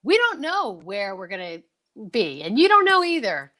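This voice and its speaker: A woman's voice: a little high, wildly swooping pitch, normal speed, a bit bright. A woman co-hosting a live comedy stream with exuberant, teasing, casual banter, hyping up her guests. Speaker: co-host of a live comedy show.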